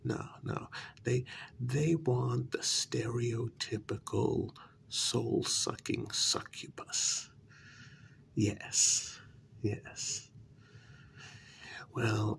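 A man doing a soft-spoken ASMR role-play as a smooth, flattering talent agent, with a breathy, gravelly half-whisper, pausing often and repeating words soothingly. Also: mid-range voice, a little hiss or hum.

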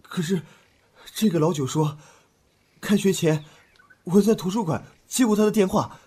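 A man's voice: high voice, normal speed, dark tone, husky. An actor playing a bewildered, jumpy male college student in an audio drama, hesitant and stammering. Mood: shaken.

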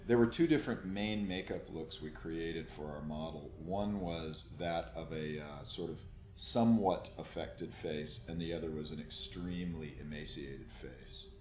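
A middle-aged man, an effects artist, explaining his past work in a halting, measured interview style. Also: extremely low pitch, darkish tone, faint music behind. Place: indoor room, seated interview. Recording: echoey room, faint noise.

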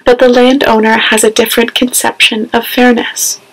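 A woman's voice: a little high, flat delivery, moderate pace, rough recording. A woman, reading a scripted scripture lesson with careful phrasing. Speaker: scripture reader. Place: small home room, indoors.

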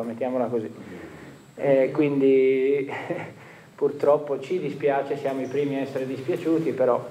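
A man in his forties, a football coach answering questions at a post-match press conference in a stadium press room, speaking in a measured, reflective way with frequent restarts. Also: mid-range voice, mellow, dull audio, slight background noise. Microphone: table microphone.

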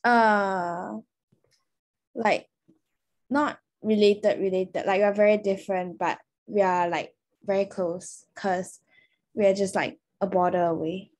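A woman's voice: medium pitch, fairly even intonation, takes it slowly, a little mellow. Young woman, lots of "like". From Singapore. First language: Chinese. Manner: filler-heavy, uses "like" a lot. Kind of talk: casual video call chat.

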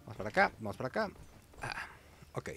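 A man's voice: medium pitch, big pitch swings, fast, bright, forceful tone, faint music behind. A man with a Mexican Spanish accent giving casual, chatty gameplay commentary in informal slang as host of a gaming video. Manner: informal, chatty, hums little sound effects.

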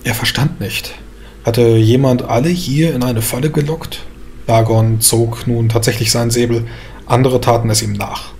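A man's voice: medium pitch, fairly even intonation, moderate pace, a little mellow, slight background noise. A man reading a scripted, dramatic fantasy story as its narrator.

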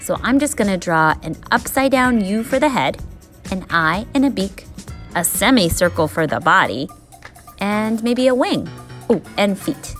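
A woman's voice: mid-range voice, animated, measured pace. A woman gives a step-by-step drawing lesson for children. Her mid-range voice is encouraging and instructive, laying out each step simply, with faint music playing underneath. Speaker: art teacher.

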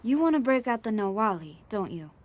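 A woman's voice: mid-range voice, very animated, brisk, lightly acted. A woman playing an upbeat young gang member in a video game cutscene, casual and playfully teasing. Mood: curious, knowing.

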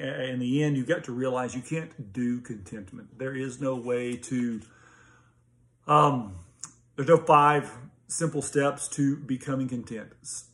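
Male pastor, thirties, American accent, mid-range voice. Earnest, teacherly, informal to-camera sermon recap with some halting pauses. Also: mid-range voice. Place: small home study with bookshelves, indoors. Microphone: phone or laptop at arm's length.

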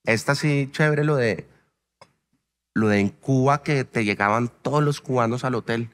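A man's voice: medium pitch, normal speed. Colombian guy hosting a late-night talk show, teasing questions, headset mic. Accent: Colombian Spanish.

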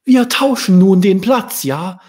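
A man voicing a kindly old ladybug grandmother in a children's story, gently encouraging and reassuring. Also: sits high, lively intonation, slow pace, voice pushed up. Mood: warm, praising.

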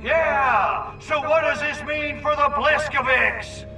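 A wisecracking alien invader in a video game, very high in pitch and unhurried. He is sarcastic and blustering, heard a little off the mic with loud music behind him. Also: gravelly, distant mic, exaggerated voice. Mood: rallying. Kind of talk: video game cutscene acting.

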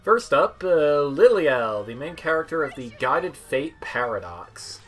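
A young man giving casual, offhand gameplay commentary as he demos game characters, with a hesitant, halting delivery. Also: on the high side, big pitch swings. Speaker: gamer demoing video game characters. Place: indoor room at home, seated. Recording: game music behind the voice. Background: video game music.

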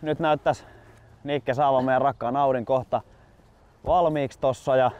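A man's voice: mid-range voice, steady tone. A man in his twenties chatting casually as a co-host, outdoors. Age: 20s. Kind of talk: casual chat. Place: outdoors at a golf club. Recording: slightly dull, faint outdoor noise.

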